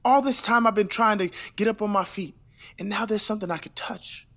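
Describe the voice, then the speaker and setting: A man's voice: sits high, fairly quick, fairly bright tone. A young man playing an earnest, ambitious newlywed husband in an audio play set in the 1920s, with a hesitant, dreamy manner. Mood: dreamy, fervent. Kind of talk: stage play audio reading.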